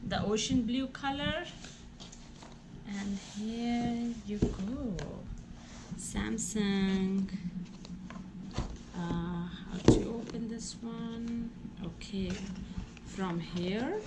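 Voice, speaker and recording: A woman hosting a casual unboxing video, talking through each step aloud in an exclamatory way, on a noisy recording. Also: a little high. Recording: clearly noisy home recording with clicks.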